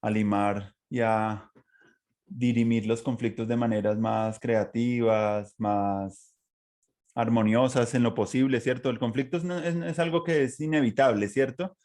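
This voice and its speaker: A Colombian man speaks as a podcast host at an ordinary middle pitch for a man. He talks in a reflective, conversational way, as in an informal interview. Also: fairly even intonation, measured pace, darkish tone.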